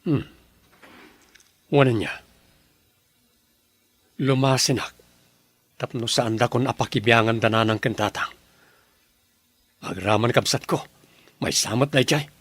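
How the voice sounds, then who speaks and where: Worried young boyfriend in a radio play, soft and earnest, mid pitch. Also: male voice, measured pace, long pauses, no room sound. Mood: troubled. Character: young man troubled over his girlfriend, earnest. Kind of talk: radio drama acting.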